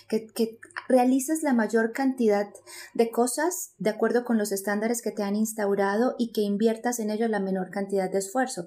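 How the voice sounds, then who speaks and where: A woman's voice: mid-range voice, dark tone. A woman with a Colombian accent, speaking as an interview guest in an earnest, reflective and motivational way, explaining things. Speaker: interview guest, spiritual coach.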